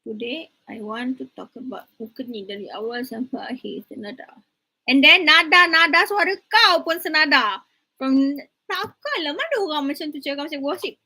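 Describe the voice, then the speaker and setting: A woman's voice: sits high, lively intonation, a little fast, sharp tone. A young woman lecturer with a Malaysian accent, giving an online class on presentation skills in a chatty, teasing way, mixing English and Malay.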